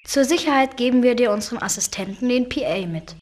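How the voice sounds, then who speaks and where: Children's game: an earnest young kid, fairly high, worried but friendly. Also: female voice, animated, quick pace, a little mellow.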